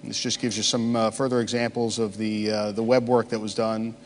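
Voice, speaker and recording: A man's voice: on the low side, little pitch movement, slight background noise. Man presenting in a reverberant hall. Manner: halting, many "uh" fillers. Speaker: conference speaker. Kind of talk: conference presentation. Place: large conference hall.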